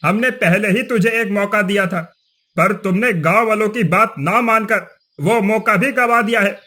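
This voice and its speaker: A man's voice: sits high, unhurried, bright, forceful tone, exaggerated voice. A man voicing a cruel, greedy village headman in a cartoon story, sneering, accusing and blustering. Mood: stern, accusing. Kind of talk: cartoon moral story voice acting.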